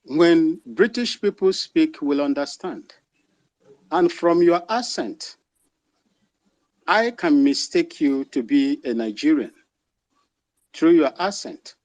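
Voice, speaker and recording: A man with a Ghanaian accent, moderating a live discussion and putting questions to a guest in a measured, deliberate way. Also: a little high, animated, a little mellow, no room sound. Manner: measured, deliberate, slows for emphasis.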